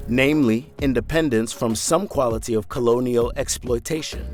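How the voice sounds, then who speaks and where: A man reads a scripted news feature as a voice-over, in an even, mid-pitched voice. He talks conversationally and asks rhetorical questions. Faint music plays under him. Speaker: news video narrator.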